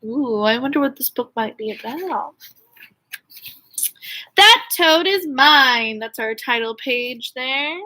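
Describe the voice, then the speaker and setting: A woman's voice: high-pitched, animated, slow, bright, forceful tone. A woman teacher reading a story aloud to young children, expressively, with questions and asides. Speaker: elementary school teacher.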